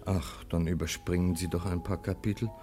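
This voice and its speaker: Radio play role: man acting a composed, ironic counsellor, low-pitched and unhurried, music behind. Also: little pitch movement, warm tone, close to an everyday voice. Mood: dryly mocking. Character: composed, dryly mocking legal counsellor, worldly old friend. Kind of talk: classic German radio drama acting.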